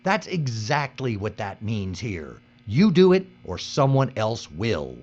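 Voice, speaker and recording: An actor playing a hard-nosed, pragmatic captive worker in an audio drama, terse and urgent. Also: male voice, lowish voice, sing-song, takes it slowly, a little mellow, exaggerated voice. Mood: stern. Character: hard-nosed, pragmatic male captive worker keeping his partner alive. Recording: dull, muffled recording.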